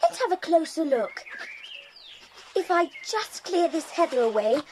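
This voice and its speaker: Plucky English tomboy girl, very high, lively, unhurried; children's audio drama. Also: full character voice. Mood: curious, determined. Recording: hissy 1990s cassette tape.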